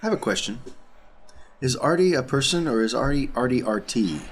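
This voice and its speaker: A man's voice: medium pitch, lively intonation, a little fast, a little hiss or hum. A man talking casually as a player on a tabletop role-playing podcast. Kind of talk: casual character introduction and table talk.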